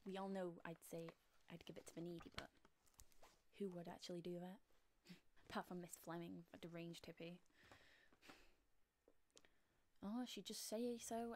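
A young woman playing a domineering, sarcastic high-school queen bee in a soft-spoken, often whispered ASMR roleplay, close on the mic. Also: a little hiss or hum, lightly acted. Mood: mocking, sarcastic. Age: young adult.

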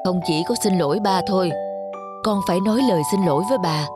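A woman's voice: fairly low pitch, fast, lightly acted. A woman voicing a patient but firm young father in a children's cartoon, speaking in an earnest, explanatory manner. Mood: reproachful. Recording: music behind the voice. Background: background music.

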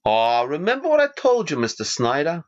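A woman's voice: low voice, wildly swooping pitch, brisk. A woman playing a cheeky newsboy. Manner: cheeky, playful. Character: cheeky crippled newsboy, cheerful. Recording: dull lo-fi recording.

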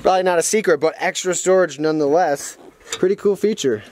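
Young man vlogging outdoors, noisy, higher-pitched voice. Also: fast talker. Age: young adult. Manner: laid-back, slangy talk with dude and man. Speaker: vlogger, show host. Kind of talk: casual vlog chat. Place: outdoors in a dirt yard by garages. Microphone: handheld camera at arm's length. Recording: noisy outdoor camera audio with vehicle noise.

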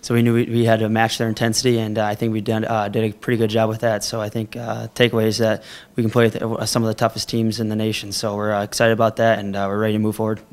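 A young American college hockey player answers press questions at a rapid clip. His voice is clear and smooth but stays flat. He strings phrases together with frequent uhs. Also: lowish voice. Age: young adult. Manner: rapid, with "uh" fillers.

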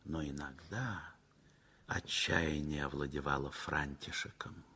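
A man narrating a 1960s radio play in a measured, sorrowful way. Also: extremely low pitch, fairly even intonation, bright, forceful tone, slightly rough. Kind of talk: scripted literary narration. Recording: vintage 1960s radio recording with faint music.

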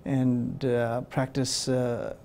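A middle-aged man from Pakistan talks in a warm, mellow tone of middling pitch. He explains things step by step, often pausing on uh and um. He is recorded on a lapel mic in a television studio. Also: little pitch movement, gravelly. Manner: measured, explanatory, frequent uh and um fillers. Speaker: urologist guest. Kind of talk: studio interview answers.

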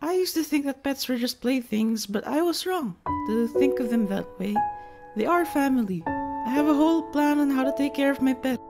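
A woman's voice: high voice, animated, moderate pace, loud music behind the voice. A woman voicing an earnest young boy who pleads with his parents for a pet.

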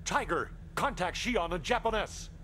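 A man's voice: high voice, expressive, moderate pace, bright, forceful tone, a little hiss or hum. A man playing a shrewd, commanding military lord in an anime dub, speaking with authority. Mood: urgent, commanding.

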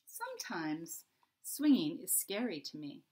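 A little storybook kid, voiced by a woman, earnest and easily wary. The delivery is plain-spoken and childlike, with lively jumps in pitch. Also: unhurried. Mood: worried. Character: small, earnest, wary younger sibling, Mouse.

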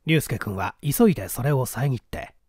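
A man's voice: mid-range voice, expressive, normal speed, a little mellow, slightly rough, no echo, studio quality. A man narrating a mystery story from a script as an audiobook reader.

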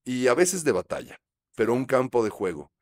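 A Mexican man in his fifties, a guest on a studio interview, telling stories in a relaxed, reflective way, speaking into a close studio microphone. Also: medium pitch, moderate pace, no echo. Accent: Mexican Spanish. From Mexico City. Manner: reflective, gestures while talking, frequent fillers. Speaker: actor guest. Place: small studio set. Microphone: studio mic on boom arm.

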